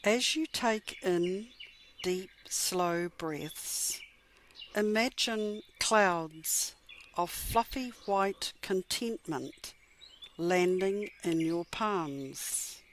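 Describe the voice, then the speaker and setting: A woman guides a meditation in a somewhat low voice. She speaks very slowly and gently, leaving soothing pauses between phrases. Birdsong and clear background noise sit behind her. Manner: measured, gentle, soothing delivery with long pauses. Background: birdsong and chirping.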